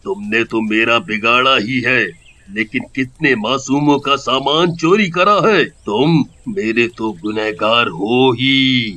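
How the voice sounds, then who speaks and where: A man voicing a vengeful male ghost in a cartoon story, talking slowly and threateningly. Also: sharp tone. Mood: menacing. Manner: slow, low and threatening. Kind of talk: cartoon voice acting.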